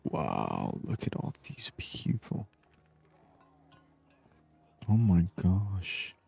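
A man giving soft-spoken ASMR gameplay commentary in a hushed, unhurried murmur, at times near a whisper. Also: deep voice, wildly swooping pitch, vocal fry, slightly rough, soft background music. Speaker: gaming video host.

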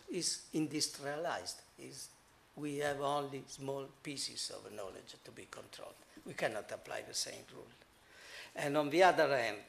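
Slow, halting Italian-accented man. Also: mid-range voice, creaky, a bit bright. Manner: halting, many fillers. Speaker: conference speaker. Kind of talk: academic conference talk. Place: conference hall.

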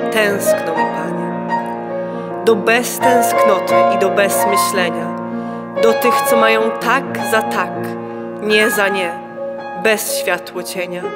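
A woman's voice: medium pitch, close to an everyday voice. A young woman playing a solemn, wistful poetry reciter in a radio play. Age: young adult.

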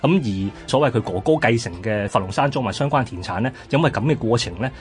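A man's voice: medium pitch, animated, quick pace, soft background music. A man with a Hong Kong Cantonese accent, narrating history conversationally as a radio co-host.

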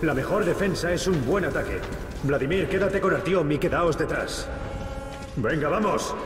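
A man's voice: fairly high pitch, lively intonation, moderate pace, close to an everyday voice. An actor playing a stern middle-aged colonel who gives clipped, authoritative orders to his squad. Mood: commanding. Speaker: squad commander. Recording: echoey game audio from afar with music.

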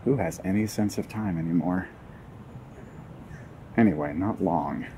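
A man giving a casual walking tour outdoors in a city park, with relaxed, wry asides to viewers. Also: sits low, unhurried, slight background noise. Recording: faint outdoor ambience.

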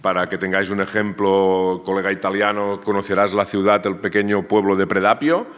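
A man with a Catalan accent and a very low voice lectures at a seminar table in a university room. He speaks loosely, often stopping to repeat a word or restart a phrase. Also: level tone, brisk, a bit bright. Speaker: academic speaker. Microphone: table microphone, far camera.

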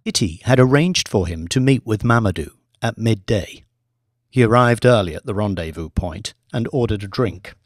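A man reading a scripted audiobook story in a measured, deliberate storytelling manner. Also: on the low side, animated, dry recording, studio quality. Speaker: audiobook narrator, author reading own book.

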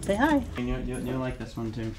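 A man's voice: medium pitch, wildly swooping pitch, normal speed, darkish tone, a little hiss or hum. A man hosting a casual travel vlog, chatting and joking as he shows around the town he grew up in. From Long Beach, California. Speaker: vlog host. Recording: music playing behind.

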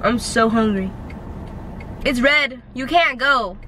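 A woman's voice: on the high side, takes it slowly, background noise. A young woman filming a casual vlog while driving, talking animatedly and scolding other drivers in mock road rage, recorded inside a car. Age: young adult. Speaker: vlogger. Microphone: dashboard camera mic.

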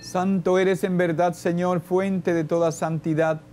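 An older man, a priest, reciting the Mass prayers at the altar in a solemn, measured way. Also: sits high, flat delivery, loud music behind the voice. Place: church sanctuary, at the altar.

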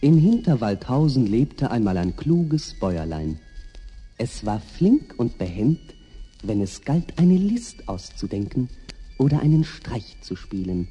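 A man narrating a scripted fairy tale for a 1960s audio play in an expressive storytelling manner. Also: mid-range voice, a little slow, breathy edge, big-room sound, faint music behind.

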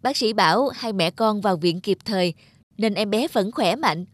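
A woman's voice: medium pitch, animated, normal speed, no echo, studio quality, lightly acted. A woman voicing a kind, earnest young friend in a cartoon drama, reassuring.

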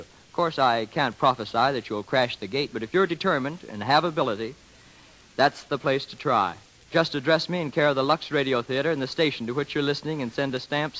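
A man's voice: mid-range voice, a bit bright, rough recording. A man speaking as a guest expert in a semi-scripted radio interview from the 1930s, formal, earnest and wordy. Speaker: guest expert on community theater. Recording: dated 1930s radio broadcast recording.

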